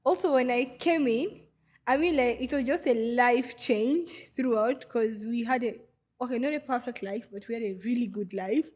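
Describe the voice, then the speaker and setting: A woman's voice: fairly high pitch, normal speed, a little mellow. A young woman from Goma in the DR Congo, a podcast guest, who tells her story in a hesitant way with many fillers. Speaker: guest, refugee vendor. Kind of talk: podcast interview.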